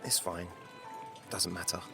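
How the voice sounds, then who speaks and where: A man's voice: deep voice, steady tone, measured pace, over music. A man playing a cheeky but guarded young servant boy in an audio drama.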